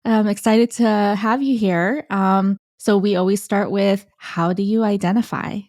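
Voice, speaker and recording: A woman's voice: medium pitch, steady tone, unhurried, darkish tone, no room sound, studio quality. A woman hosting a podcast interview, enthusiastic and affirming. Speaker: podcast host.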